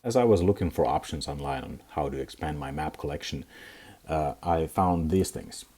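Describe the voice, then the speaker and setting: A young Finnish man with a Finnish accent, hosting a casual hobby review vlog, talking in a halting way with many fillers and restarts. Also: rumbling low, mellow, husky. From Finland. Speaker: tabletop gaming channel host.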